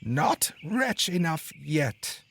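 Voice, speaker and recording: A man voicing a small, bold female sparrow, high and strained, drawing out slow, fierce threats. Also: sing-song, a bit bright, heavily acted. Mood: vengeful.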